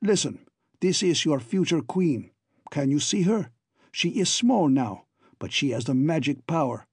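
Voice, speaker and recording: A man voicing a sinister middle-aged giant who addresses a crowd in loud, slightly broken English. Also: on the high side, animated, a little slow, slightly rough, no echo, clean studio sound, exaggerated voice. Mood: proclaiming.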